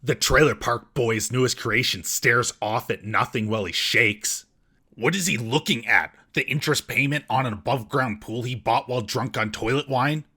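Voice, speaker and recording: A man's voice: medium pitch, moderate pace, sharp tone, husky. A man hosting a scripted comedic film recap in a sarcastic, mocking manner. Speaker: comedic film reviewer and host.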